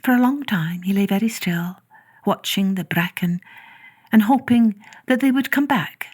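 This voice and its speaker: A woman narrating a children's story in a measured, expressive way, drawing out some words. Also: mid-range voice, husky. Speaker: storyteller, podcast host.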